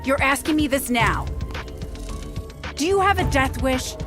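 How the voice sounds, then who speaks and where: A woman's voice: sits high, animated, unhurried. A woman voicing a brash, exasperated female investigator in a video game, blunt and urgent. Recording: music behind the voice.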